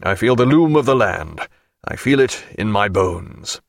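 A man's voice: fairly low pitch, lively intonation, fast talker, vocal fry, a bit bright, dry recording, studio quality, heavily acted. A man voicing a hearty, genial sea captain, confident and bluff, in an audiobook sea story. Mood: forced cheerfulness. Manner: hearty, factitiously cheerful.

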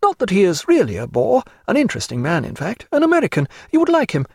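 A man's voice: high-pitched, wildly swooping pitch, quick pace, gravelly, slightly rough, dry recording, clean studio sound, voice pushed up, full character voice. A man voicing an effusive, vivacious society woman in an audiobook, chatty and fretful. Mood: chatty, vexed. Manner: gushing, chatty, breezy. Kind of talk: audiobook character voice.

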